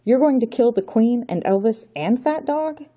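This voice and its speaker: Woman playing a young male hero, urgent, pleading, husky, mellow tone. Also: fairly low pitch, lively intonation, moderate pace. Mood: defiant.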